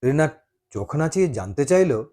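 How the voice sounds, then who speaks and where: A man reads a love story in a dramatised narrating style. His voice is a little higher than average for a man and moves through wide, animated rises and falls. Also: moderate pace.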